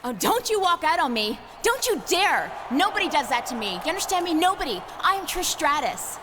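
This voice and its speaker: High-pitched fiery diva. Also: female voice, big pitch swings, a little fast. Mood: outraged. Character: scheming, flirty female wrestling diva with fiery temper. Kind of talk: video game cutscene dialogue. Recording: noisy game cutscene audio.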